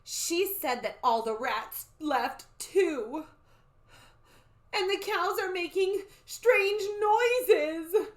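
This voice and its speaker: A woman voicing an eager, insistent young girl in a storybook, with excited urgency. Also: very high-pitched, moderate pace, hardly any pauses, voice pushed up. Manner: excited, calling out.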